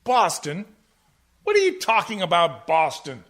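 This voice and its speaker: A man's voice: sits high, huge pitch swings, a little slow, a bit bright, heavily acted. An actor playing a flustered, blustering, middle-aged jazz-musician father in a comic radio play, with a melodramatic, stammering delivery. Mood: indignant.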